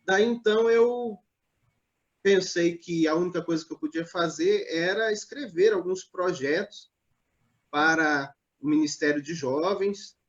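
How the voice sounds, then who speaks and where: A man from Northeast Brazil, a pastor, telling his life story in a live online interview in a measured, hesitant way, with many pauses and fillers. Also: high-pitched, lo-fi sound. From Natal, Northeast Brazil.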